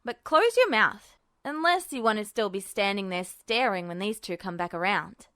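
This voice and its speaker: A woman's voice: mid-range voice, animated, moderate pace, fairly bright tone, clean tone, no echo, studio quality, pitched below the performer's own voice, lightly acted. A woman voicing a scrappy, foul-mouthed young man with a brash, combative manner in an audiobook story. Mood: indignant. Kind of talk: audiobook character voice.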